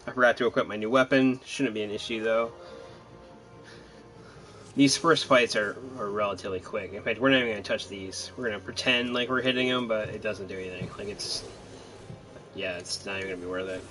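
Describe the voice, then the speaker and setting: Low-voiced man, rambling game commentary. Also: fairly even intonation, a little fast, a bit bright, faint music behind. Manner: rambling, hesitant, laid-back. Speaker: gaming commentator. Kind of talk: casual let's-play commentary.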